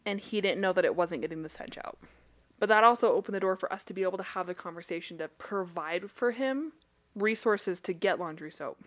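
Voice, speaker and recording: A woman's voice: fairly low pitch, a little fast. A woman who is a licensed therapist and a podcast co-host, talking in a blunt, casual way with swearing and frequent laughter. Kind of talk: casual chat.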